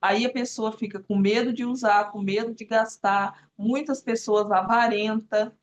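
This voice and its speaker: A woman in her forties, a therapist and guest on a live video call, explaining in an earnest, unhurried way with frequent fillers. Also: on the high side, steady tone. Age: 40s. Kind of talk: casual live-stream talk.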